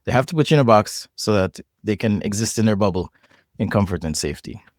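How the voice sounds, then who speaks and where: A low-pitched man with a Jamaican accent talks through his thoughts on a podcast. He is reflective and animated, restarting phrases as he goes. The sound is dry and close. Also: fast, dry recording. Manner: reflective, animated, frequent restarts and repeated words. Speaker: podcast guest. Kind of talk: casual conversation.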